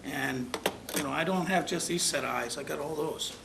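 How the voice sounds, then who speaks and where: A man's voice: fairly high pitch, moderate pace, creaky. A man chairing a town commission meeting, speaking in a folksy, rambling, plainspoken way. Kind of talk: public meeting remarks. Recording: noisy public-access meeting recording.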